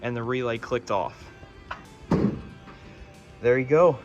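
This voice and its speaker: A man presents a hands-on electronics project in a casual, conversational way. His voice sits at an average pitch. Music plays clearly in the background. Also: slow, highs cut off.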